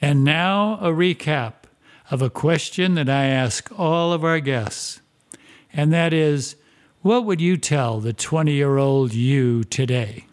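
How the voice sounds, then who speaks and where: Slow, earnest male podcast host. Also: fairly high pitch. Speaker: podcast host for a community club. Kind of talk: scripted podcast introduction.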